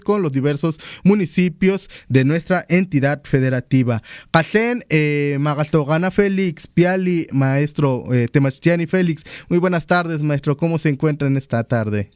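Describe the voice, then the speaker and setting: Courteous radio host, dry studio sound. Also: male voice, fairly high pitch, a little mellow, dry recording. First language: Totonac. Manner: formal, courteous, with frequent "eh" fillers. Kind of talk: radio interview.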